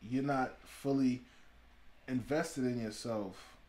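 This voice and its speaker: A man's voice: medium pitch, slow, warm tone. Reflective man, loose and musing. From Haiti. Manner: reflective, trails off with "like" and restarts. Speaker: podcast guest. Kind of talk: casual chat.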